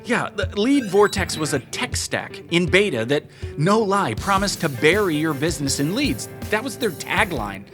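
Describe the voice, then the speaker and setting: A man's voice: sits high, very animated, moderate pace, hardly any pauses, fairly bright tone, soft background music. An actor playing an aggrieved, self-important male marketer in a comedic audio drama, with a wry conversational delivery. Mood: defiant, boastful.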